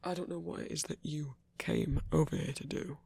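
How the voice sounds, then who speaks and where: A man's voice: fairly high pitch, steady tone, fairly quick, creaky, a little mellow, husky, dry recording. A man voicing a grumpy but protective werewolf in an intimate ASMR romance roleplay, grumbling and scolding with care. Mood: grumbling, caring. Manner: grumbling, scolding yet caring.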